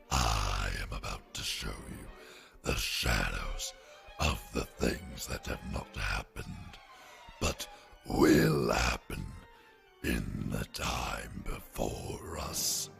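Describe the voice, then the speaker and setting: An ominous spirit of the future for a radio play. The voice is abyssally low and very slow, thick with gravelly fry and half whispered. It is grave and foreboding, with music behind it. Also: male voice, heavily acted. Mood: solemn, ominous.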